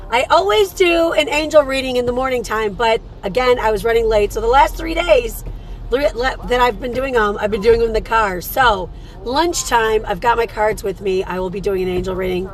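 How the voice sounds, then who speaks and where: Woman, hoarse voice, slightly high pitch, chatty and affectionate, live morning chat, faint music behind. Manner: chatty, affectionate with viewers, calls them sweetheart. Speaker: host and card reader. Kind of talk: casual live chat with viewers.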